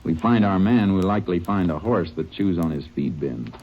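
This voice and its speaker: An actor playing a calm, methodical male lawman detective who also narrates, matter-of-fact, in an old radio drama recording. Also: low voice, moderate pace, lo-fi sound, a little hiss or hum. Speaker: Texas Ranger investigator.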